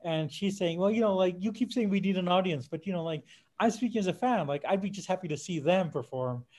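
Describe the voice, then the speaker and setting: A man's voice: sits high, quick pace, a little mellow. A man casually retelling anecdotes in a hesitant, fragmented way, often searching for his words. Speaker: podcast guest or co-host discussing a film.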